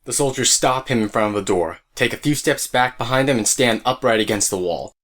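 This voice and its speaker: A man's voice: medium pitch, a little fast, fairly bright tone. A man narrating a scripted audio drama as a storyteller who speaks straight to the listener. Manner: storyteller addressing listeners directly.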